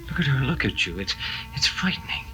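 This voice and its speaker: A man's voice: medium pitch, big pitch swings, brisk, sharp tone, poor audio. An actor playing an anxious, awed man who fears for his beloved, in a 1970s radio drama. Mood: alarmed, awed. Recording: 1970s radio recording, music behind.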